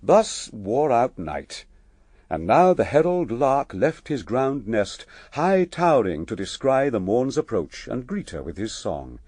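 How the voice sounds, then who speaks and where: A man narrating epic verse drama in a stately, measured, expressive manner. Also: mid-range voice, no room sound, studio quality.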